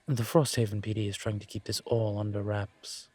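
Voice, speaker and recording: A man playing a calm, courteous incubus professor and investigator in an intimate audio roleplay, speaking considerately with careful pauses. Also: fairly low pitch, husky, no room sound. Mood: discreet, businesslike. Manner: measured, considerate, hesitant pauses. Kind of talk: scripted audio roleplay, intimate one-sided conversation.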